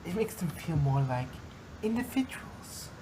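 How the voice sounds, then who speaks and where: A woman voicing a shy, gentle, earnest female pegasus pony in a fan fiction reading. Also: fairly low pitch, very animated, unhurried. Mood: gentle, proud. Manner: timid, earnest, apologetic. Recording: noisy recording.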